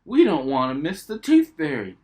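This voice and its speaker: A man voicing an excitable, curious young girl piglet in a storybook, exclaiming and asking eager questions. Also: fairly high pitch, normal speed, voice pushed up. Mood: eager, excited.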